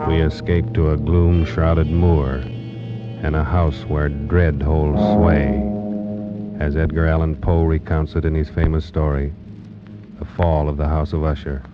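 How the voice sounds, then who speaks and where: A man introducing a radio drama in an ominous, slow, deliberate manner. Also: a bass voice, flat delivery. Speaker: show announcer. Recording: muffled old radio with music.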